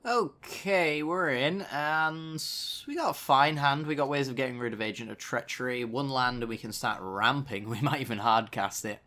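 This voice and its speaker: A man's voice: medium pitch, normal speed, sharp tone. Chatty man doing gameplay commentary. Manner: casual, chatty, with dry sarcasm. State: recovering from a sore throat. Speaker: card game streamer.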